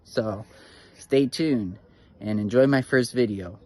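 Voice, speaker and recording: A man's voice: mid-range voice, slow, dark tone, no echo. A man giving a casual, rambling show-and-tell about his gear, with lots of "you know" fillers and a slightly nervous, informal manner. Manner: admits to nervousness. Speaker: hobbyist radio reviewer.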